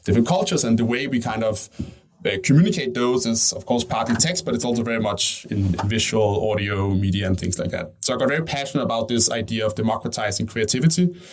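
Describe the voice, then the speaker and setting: A man's voice: lowish voice, slight background noise. A man from Denmark, a company CEO, answering interview questions in a conversational way, thinking aloud and often tagging "right?" onto his points. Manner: fast, thinking aloud with frequent "right?" and restarts.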